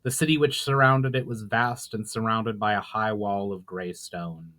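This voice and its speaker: A man giving a dramatic reading of his own written story, measured in delivery, with occasional stumbles and self-corrections. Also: on the low side, fairly even intonation, bright, forceful tone. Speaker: author reading own book aloud. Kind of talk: dramatic audiobook-style narration.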